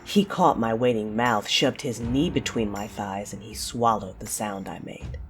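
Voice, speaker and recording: A woman narrating an audio drama in the first person, with a deliberate, theatrical delivery. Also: a bass voice, faint music behind.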